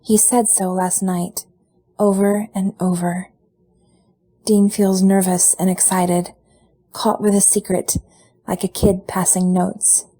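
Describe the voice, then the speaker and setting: A woman reading a fan fiction story aloud, with relaxed storytelling and lightly voiced dialogue. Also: mid-range voice, slow, long pauses, slightly rough. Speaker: podfic reader.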